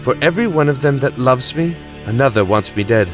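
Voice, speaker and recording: A man's voice: medium pitch, expressive, brisk, faint music behind, close to an everyday voice. A man playing a weary, grandiose time-traveling alien emperor in an audio drama, self-justifying and reflective. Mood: weary, resigned.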